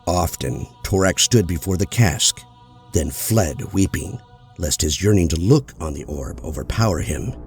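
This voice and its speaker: A man narrating a fantasy audiobook in a measured, solemn storytelling manner. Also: low-pitched, animated. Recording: loud music bed under voice.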